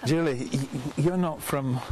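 Older man, host, relaxed unhurried talk, lapel mic, faint outdoor air. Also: on the high side, a little hiss or hum. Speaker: ministry leader and host. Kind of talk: interviewing and promo to camera. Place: outdoors on a garden bench by a stone manor.

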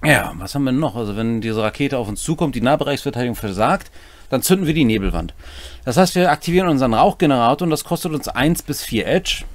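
A man's voice: mid-range voice, animated, fast, no echo. A man in his forties casually explaining game rules as a co-host, with dry, playful summaries in plain words. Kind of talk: casual rules walkthrough with joking asides. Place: small home room, indoors.

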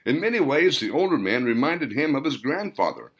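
A man reading a story as a scripted audiobook narration, in deliberate, dramatic phrases broken by pauses. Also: mid-range voice, sing-song, a bit bright, husky. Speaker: author reading own novel, narrator.

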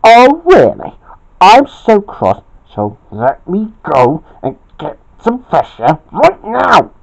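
A hot-tempered man from a homemade storybook tale, acted out by a male storyteller. The pitch rides high and leaps around dramatically as he squabbles. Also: huge pitch swings, slow pace, darkish tone, heavily acted, poor audio. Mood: furious. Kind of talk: amateur storytelling with acted dialogue.

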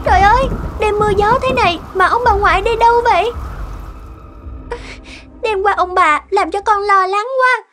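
A woman's voice: very high voice, moderate pace, long pauses, fairly bright tone, slight background noise. A woman playing a caring, curious young granddaughter in a cartoon, asking things in a concerned, plaintive way. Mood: worried. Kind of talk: cartoon dialogue acting.

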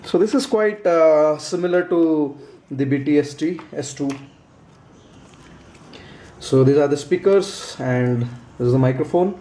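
A man's voice: fairly high pitch, a little slow, pauses often, warm tone. A man giving a casual unboxing and how-to demonstration, explaining step by step in a hesitant, repetitive way. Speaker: product reviewer. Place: indoors, small room at a tabletop. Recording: roomy echo, faint background noise.

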